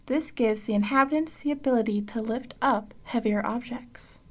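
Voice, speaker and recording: Woman acting a ship computer, comic radio play, higher pitch, impassive, measured, clipped, matter-of-fact. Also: natural voice. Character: impassive spaceship computer giving planet data.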